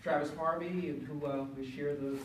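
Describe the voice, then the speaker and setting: An older man moderating a panel, halting and hesitant, full of fillers and restarts. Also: on the high side, fairly even intonation. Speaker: moderator, university teacher. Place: panel room with audience. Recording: echoey room, far from mic, noisy.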